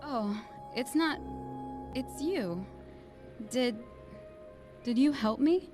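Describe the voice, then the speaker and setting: A woman's voice: sits high, lively intonation, drawn-out words, music in the background, heavily acted. An actress playing a troubled, vulnerable young woman in a video game cutscene. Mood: relieved, shaken. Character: dazed, lovesick young woman under dark influence, then shaken.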